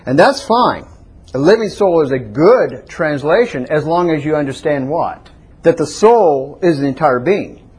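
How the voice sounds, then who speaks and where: A man with a somewhat high, husky voice delivers a teaching sermon in a church. He speaks conversationally, pausing to quiz the congregation and have them repeat a word back to him. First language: not Hebrew. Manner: teaching, asks congregation questions. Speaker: pastor.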